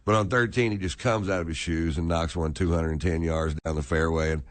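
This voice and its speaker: Man, deep voice, dry close sound, casual joking chat, rambling anecdotes. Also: fairly even intonation, a little fast, dry recording.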